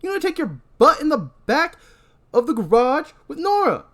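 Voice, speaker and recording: Give me a loud, crude, bossy man, as a woman puts him on, yelling at high pitch. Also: big pitch swings, normal speed. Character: bossy shouting adult man boss.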